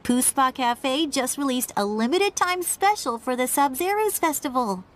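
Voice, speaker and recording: Coaxing best-friend role in a game fan dub , woman performer: high, casual, playful. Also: lively intonation, normal speed. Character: friendly, teasing young female friend. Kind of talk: amateur fan voice-over of game dialogue.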